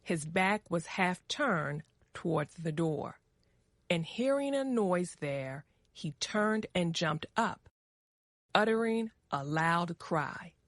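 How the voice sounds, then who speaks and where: Female story narrator, lowish register, measured pace, dramatic reading for English learners. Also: expressive, dry recording, studio quality.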